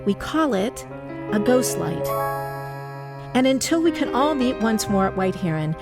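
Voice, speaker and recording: A woman's voice: on the high side, very animated, measured pace. A woman giving a scripted introduction as a theater's artistic director. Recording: loud music behind.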